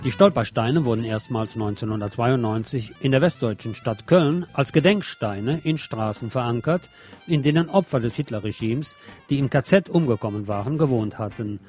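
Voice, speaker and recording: A man's voice: medium pitch, lively intonation, normal speed, darkish tone, soft background music. A man presenting a radio magazine, reading scripted news reports.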